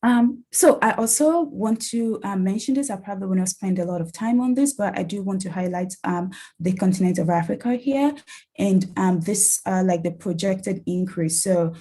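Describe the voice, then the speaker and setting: A young woman from Nigeria, a nurse researcher speaking with a soft Nigerian-tinged accent, giving an online talk with many ums and restarts in an earnest, encouraging way. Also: medium pitch, moderate pace. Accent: Nigerian-tinged English. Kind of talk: online academic talk with slides.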